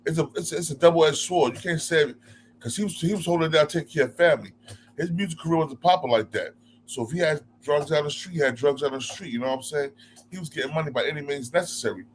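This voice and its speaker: A man in casual chat who talks quickly and repeats words as he pushes his point. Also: on the high side, a little hiss or hum.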